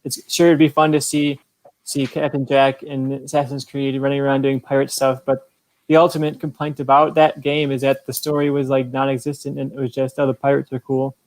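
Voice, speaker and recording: A man's voice: medium pitch, flat delivery, fairly quick, a little mellow, no echo, rough recording. A man giving his casual opinion as a podcast judge.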